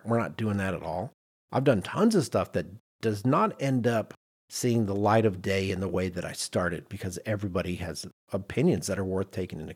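A man's voice: fairly low pitch, a little mellow. A middle-aged man hosting a podcast in casual discussion, acting out little imagined quotes as he explains. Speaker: podcast host.

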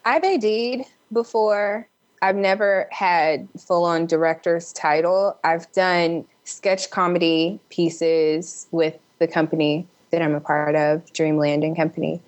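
Female interview guest, lower-pitched voice, upbeat and conversational. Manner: upbeat, chuckles while talking. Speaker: interview guest, actor and film director. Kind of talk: casual interview chat.

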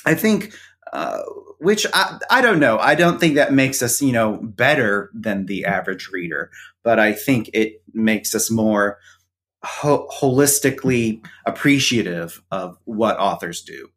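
A man who is a guest on a podcast, talking about books in a thoughtful, hesitant way with many pauses. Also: lowish voice, lively intonation, a bit bright. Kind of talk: casual interview chat.

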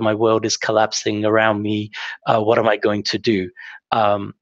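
Man, interview guest, relaxed, self-deprecating, gravelly, lo-fi, dry. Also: fairly low pitch, no room sound. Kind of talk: casual interview talk. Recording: dull, dry lo-fi sound.

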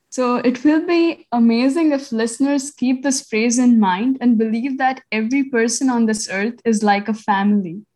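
A woman guest on a podcast giving earnest, reflective closing remarks, with some hesitant fillers. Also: on the high side.

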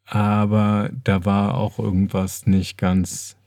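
Male podcast co-host, lowish and very mellow, wry conversational delivery with some groping for words, dry close sound. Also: completely flat delivery, slow pace, creaky, no room sound. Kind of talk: casual podcast chat.

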